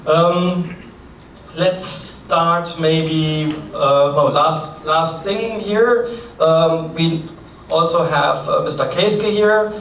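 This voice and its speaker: A man's voice: fairly high pitch, very slow, fairly bright tone. A man with a German accent opening a conference as its host, giving practical announcements in a chatty way with light asides. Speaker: forum host. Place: large conference hall. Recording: echoey hall, distant mic, faint noise.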